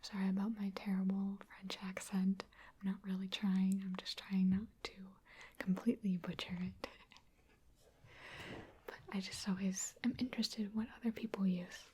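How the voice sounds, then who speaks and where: A woman from Wisconsin, an ASMR creator, answering viewer questions in a soft, chatty, self-deprecating whisper. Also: mid-range voice, little pitch movement, normal speed, a little hiss or hum. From Wisconsin, USA.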